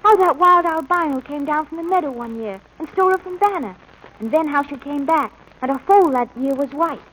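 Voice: high voice, fairly bright tone, a little hiss or hum, poor audio. A performer playing an earnest, dreamy young ranch boy in a 1940s radio drama, boyish and hesitant when making excuses. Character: eager, dreamy young ranch boy, apologetic to his father.

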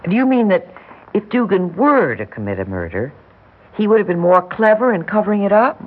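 A woman's voice: mid-range voice, very animated, a little fast. An actress playing a polite, earnest young female welfare worker, persistent but hesitant, in a 1960s radio drama. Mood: earnest, concerned. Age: young adult. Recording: muffled vintage 1960s radio recording.

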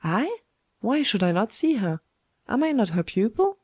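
Woman playing an earnest young man, mellow tone. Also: fairly high pitch, very animated, moderate pace, slightly rough, dry recording, clean studio sound. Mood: defensive. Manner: indignant, breathless questioning. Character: earnest, proud, flustered young man, a student monk.

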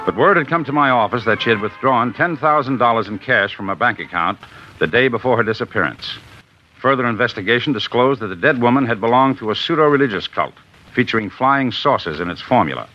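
An actor playing a big-city district attorney, narrating a case in a steady, matter-of-fact 1950s radio drama style, on a dull old broadcast recording. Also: male voice, mid-range voice, fairly quick, faint music behind, natural voice. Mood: grave.

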